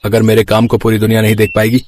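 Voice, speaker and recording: A man's voice: lowish voice, completely flat delivery, very fast, darkish tone, a little hiss or hum, close to an everyday voice. Man playing an earnest young village man. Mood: eager, confident. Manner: resolute, declaring. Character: earnest, determined young village vegetable seller, devoted son. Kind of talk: cartoon story voice acting.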